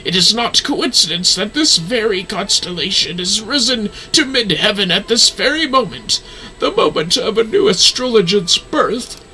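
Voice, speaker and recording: A man's voice: high-pitched, expressive, moderate pace, pushed and bright, voice pushed up, heavily acted, rough recording. A man voicing an elderly, weary, wryly jesting astrologer from a fantasy game in formal, theatrical phrasing. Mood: lofty, solemn.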